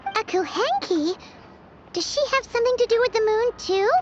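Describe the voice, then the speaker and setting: Squeaky, very high childlike fairy companion. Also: female voice, lively intonation, moderate pace. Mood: curious, surprised. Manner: inquisitive, refers to self in third person. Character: Paimon, small excitable childlike female floating fairy companion. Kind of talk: video game character dialogue. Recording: muffled game stream audio with noise.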